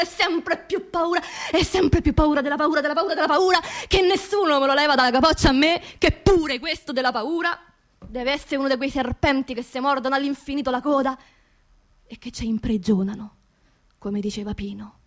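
A woman's voice: sits high, fairly quick, lightly acted. An actress playing an anxious, grieving working-class woman in a theatrical radio monologue, with a Roman accent and an emotional, confiding manner. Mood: anxious, agitated, then fearful, hushed. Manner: emotional, confiding, repeats words insistently.

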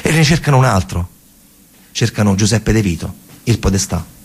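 A man with a Roman accent delivering a dramatic theatre monologue in a colloquial, emphatic way, his voice husky and gravelly. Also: lowish voice, animated, moderate pace, a little hiss or hum. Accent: Roman Italian. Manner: colloquial, emphatic storytelling with repeated phrases. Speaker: stage actor and storyteller.

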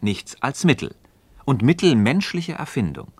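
An actor playing a thoughtful philosopher in a German radio play, questioning a friend in a measured, deliberate, didactic way. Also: male voice, medium pitch, sing-song, breathy edge, no echo. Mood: reasoning, insistent. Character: thoughtful, probing male philosopher leading a friend through argument.

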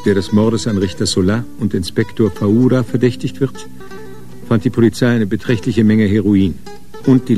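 A man narrating a radio crime drama from a script in a measured, sober way. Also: on the low side, warm tone.

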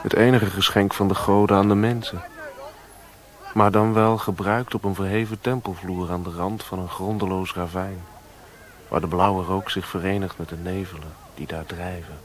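An actor playing a weary, sick opium smoker in a radio drama, speaking in halting, muttered phrases. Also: male voice, low-pitched, fairly even intonation, natural voice. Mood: musing. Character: weary, sick man, an opium-smoking outsider. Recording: noisy radio play recording.

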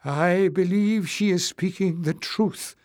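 A man's voice: medium pitch, lively intonation, very slow, dark tone, no room sound, studio quality. A man voicing a proud, brave old grandmother in a storybook, with a quavering voice. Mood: earnest, quavering.

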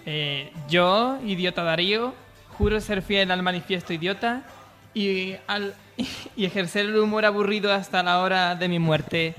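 A man chatting casually and haltingly as a panelist on a comedy radio show. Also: high voice, a bit bright, faint music behind. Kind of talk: casual joking chat.

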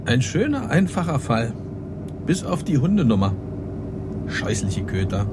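Cynical male cop, radio drama: animated, sardonic, very noisy surroundings. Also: mid-range voice, unhurried. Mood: breezy, sardonic. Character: breezy, cynical male police detective. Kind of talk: naturalistic radio drama dialogue.

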